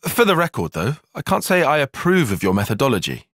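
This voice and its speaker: A man's voice: mid-range voice, sing-song, fairly quick, fairly bright tone, no room sound, clean studio sound. A man with a British accent voicing an earnest, cautious young soldier-strategist in a video game, speaking in a precise, formal, articulate way. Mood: measured, formal. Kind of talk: video game cutscene voice acting.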